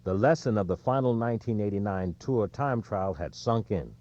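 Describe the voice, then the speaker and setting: A man reading a nonfiction sports audiobook in a measured storytelling way, with emphasis on the quotes. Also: fairly low pitch, animated, a little mellow, dull audio. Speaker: audiobook narrator. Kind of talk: scripted nonfiction narration of a sports book.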